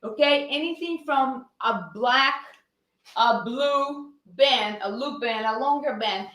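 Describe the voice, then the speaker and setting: Energetic fitness coach, woman with a Brazilian accent, high voice, recorded in a roomy space. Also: slow pace. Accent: Brazilian Portuguese. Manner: energetic, greets viewers and answers her own questions. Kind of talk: live-streamed fitness lesson.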